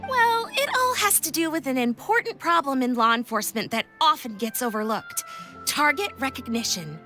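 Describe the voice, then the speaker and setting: A woman's voice: high-pitched, very animated, normal speed, pushed and bright, soft background music, heavily acted. A woman playing a confident, enthusiastic young inventor in a video game, explaining things in a bubbly, persuasive, theatrical way. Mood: explanatory. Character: Lapine Pauline, confident, enthusiastic young female tech inventor.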